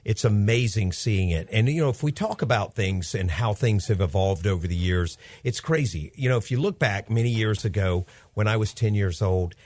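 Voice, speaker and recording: A man's voice: lowish voice, normal speed, hardly any pauses, darkish tone, dry recording. Chatty man in his fifties hosting radio. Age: 50s. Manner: upbeat, chatty, rambling storytelling. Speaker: radio show host. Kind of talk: casual radio chat with his son.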